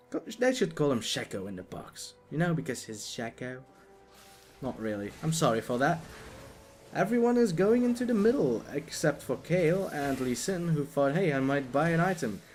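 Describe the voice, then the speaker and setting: A young man with a Dutch accent giving excitable, jokey live commentary on a video game, talking to the players on screen. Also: medium pitch.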